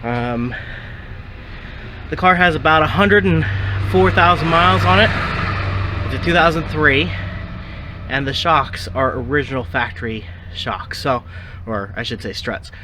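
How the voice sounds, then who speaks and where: A man getting over a cold, a little sniffly, explaining a car suspension job in a casual, halting way with many ums and restarts. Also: a little high, pushed and bright, a little hiss or hum. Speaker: car repair vlogger.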